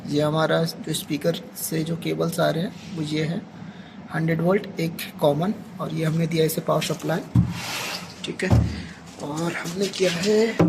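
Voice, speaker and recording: A man with an Indian accent giving a hands-on, step-by-step equipment tutorial as a technician, often adding a short check-in tag. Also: a little high. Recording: clearly noisy amateur recording with ambient sound.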